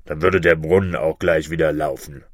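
Man voicing a deep, gruff griffin bird. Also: steady tone, no echo, clean studio sound. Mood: scornful. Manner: slow, scornful, commanding. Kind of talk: fairy-tale storybook reading.

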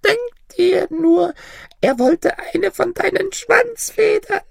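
Man doing an ancient granny in high falsetto. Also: expressive, takes it slowly, gravelly, no echo, clean studio sound, heavily acted. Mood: coy, coaxing. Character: ancient, kindly, crafty little old woman. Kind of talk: fairy-tale storybook reading.

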